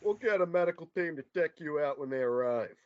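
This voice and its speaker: A man's voice: fairly high pitch, lively intonation, a little fast, natural voice. A man in a table read voicing a dutiful police officer who speaks formally and politely. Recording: muffled lo-fi recording.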